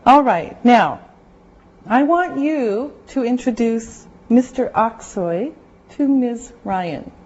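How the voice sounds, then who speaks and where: Slow careful woman teacher, kinda high voice, muffled classroom recording. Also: lively intonation, darkish tone. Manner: slow, deliberate, clearly spoken directions. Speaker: English teacher. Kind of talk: classroom instruction.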